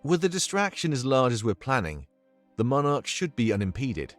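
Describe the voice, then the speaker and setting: A man playing a confident, measured rebel strategist in a fantasy visual novel game, briefing formally and deliberately. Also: mid-range voice, very animated, soft background music, natural voice. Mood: resolute, briefing.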